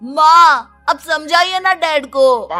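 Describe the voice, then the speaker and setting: Woman voicing a flippant, high-voiced lazy teenage son. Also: a little slow, soft background music, natural voice. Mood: whiny, annoyed. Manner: flippant, whiny back-talk. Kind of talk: animated moral story dialogue.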